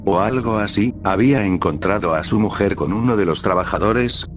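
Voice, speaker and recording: A man, reading first-person scary stories as a scripted narration for a horror channel. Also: lowish voice.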